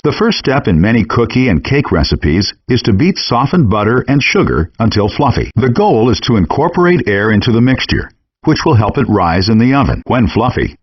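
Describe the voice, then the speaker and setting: A man narrating scripted cooking instructions for a game in a measured, step-by-step way, in muffled, compressed handheld game audio. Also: medium pitch, breathy edge.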